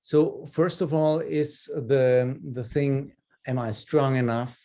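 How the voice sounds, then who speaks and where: A man in his fifties with an Austrian accent, a native German speaker, telling personal stories haltingly in an online group session. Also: medium pitch, fairly even intonation, dark tone. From Upper Austria. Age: 50s. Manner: hesitant, halting delivery with repeated words. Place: video call.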